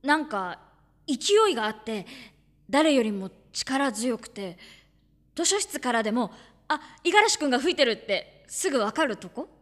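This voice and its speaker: A woman plays an earnest teenage schoolgirl with a quiet crush in an audio drama. Her voice is on the high side, and she speaks gently and hesitantly, in an encouraging, youthful way. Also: lively intonation, moderate pace, lightly acted. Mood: flustered, earnest. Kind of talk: Japanese audio drama acting with first-person narration.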